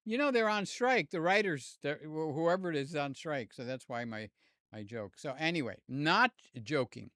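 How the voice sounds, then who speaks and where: Slightly hoarse older man chatting live. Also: fairly high pitch, lively intonation, moderate pace, pushed and bright, no room sound, studio quality. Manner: rambling, jokey, talks to chat by name. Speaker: livestream host. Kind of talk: casual live chat with viewers. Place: home room with fans and air conditioner. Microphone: desk mic near the mouth.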